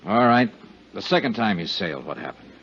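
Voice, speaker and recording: An actor playing a shrewd, hard-boiled insurance investigator in a 1950s American radio drama, speaking in terse, clipped lines. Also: male voice, on the low side, very animated, fairly quick, a bit bright. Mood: pressing, coaxing. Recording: muffled 1950s radio recording.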